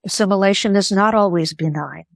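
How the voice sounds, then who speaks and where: An older woman whose first language was Greek, speaking in an interview in a thoughtful, measured way and pausing to rephrase. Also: mid-range voice, creaky, dry recording, studio quality. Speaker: guest. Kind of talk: interview conversation.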